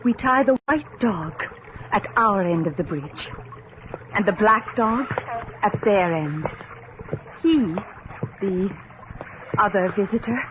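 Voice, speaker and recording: Eerie witch, solemn, very slow incantatory delivery, radio horror drama, dull noisy tape. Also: mid-range voice, animated. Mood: ominous. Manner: slow, deliberate, ominous incantation and warning. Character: solemn, eerie witch conducting a dangerous night-time séance.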